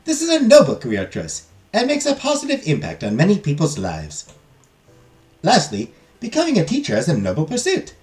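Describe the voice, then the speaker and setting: Formal lady lecturer of a magical-girl academy, put on by a man, fake Spanish-like accent, pitch swinging widely. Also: wildly swooping pitch, a little fast, darkish tone. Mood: lecturing. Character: prim female magical-girl academy teacher. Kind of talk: reading game dialogue aloud.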